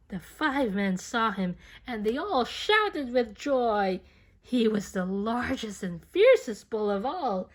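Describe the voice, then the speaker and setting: A woman reading a children's picture book aloud as an expressive storyteller, unhurried and speaking straight to a young listener. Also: medium pitch.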